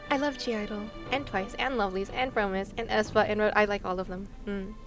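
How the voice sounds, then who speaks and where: A woman's voice: mid-range voice, fairly even intonation, brisk. A young woman hosting a livestream, chatting casually with viewers in a playful, exclaiming, giggly manner. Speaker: livestream host. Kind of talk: casual chat with stream viewers over a game. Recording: game music behind the voice.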